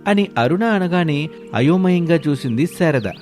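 A man's voice: on the high side, animated, measured pace, a little mellow, faint music behind. A man narrating a scripted moral tale as a storyteller.

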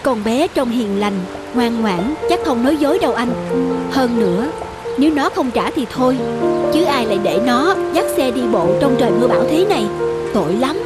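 An actress playing a kind, gentle, persuasive woman passer-by in a cartoon. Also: on the high side, animated, moderate pace, loud music behind the voice, close to an everyday voice. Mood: compassionate. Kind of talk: cartoon dialogue.

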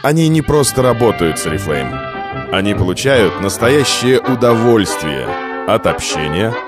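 A male narrator delivers a scripted promotional read for a company. His intonation moves widely and expressively while the phrasing stays deliberate. Music plays clearly beneath his voice. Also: lowish voice, sing-song. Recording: music under the voice.

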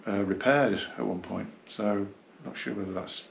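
A man gives a casual, unscripted hi-fi review, rambling and halting as he reminisces. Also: lowish voice, creaky, slight background noise. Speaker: hi-fi dealer and reviewer. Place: small carpeted indoor room, seated.

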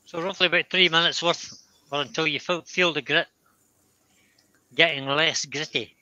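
Male host, bright crisp tone, relaxed teasing chat. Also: a little high, moderate pace, poor audio. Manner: easygoing, teasing banter. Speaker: woodturner hosting a live stream. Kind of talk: casual chat while working at a lathe.

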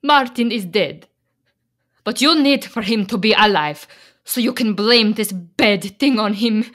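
An actress playing a desperate Slovak immigrant wife in a radio play, pleading and defiant in halting, Slovak-accented English. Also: female voice, medium pitch, animated, a bit bright. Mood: guarded, grieving.

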